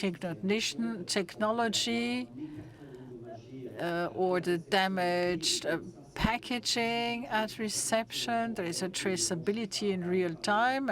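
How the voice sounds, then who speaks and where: German-accented woman speaker, mid pitch, halting delivery, audience noise in the room. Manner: halting, with many restarts and fillers. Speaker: conference speaker. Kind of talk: conference talk. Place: conference hall with an audience.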